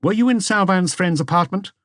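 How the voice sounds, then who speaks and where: A man's voice: high-pitched, brisk, a bit bright, no echo, studio quality, voice pushed up. A man voicing a formal, probing examining magistrate in an audiobook, insistent in his questions.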